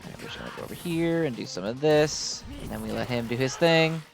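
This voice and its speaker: A man's voice: medium pitch, fast talker, vocal fry, music in the background. A man giving casual, rambling gameplay commentary with a fan's enthusiasm and some mild swearing. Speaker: gamer commenting on own gameplay.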